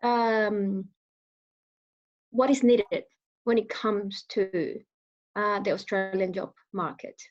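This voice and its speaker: A woman's voice: mid-range voice, fairly even intonation, takes it slowly, long pauses, highs cut off. A woman from Venezuela with a Venezuelan Spanish accent, speaking English as a second language, answering interview questions in a hesitant way with many fillers. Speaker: guest speaker.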